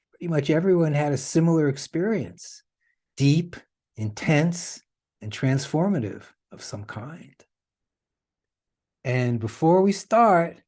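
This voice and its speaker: A man's voice: on the high side, a little mellow. A man hosting a podcast, explaining things in a casual, conversational way. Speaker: podcast host.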